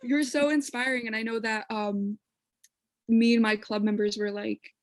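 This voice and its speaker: A young woman from northern New Jersey gushes with excitement about the theater. Her voice sits a little high, and her recording sounds dry and close. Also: moderate pace, no echo. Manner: gushing, enthusiastic. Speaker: theater club member, panelist. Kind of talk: casual chat.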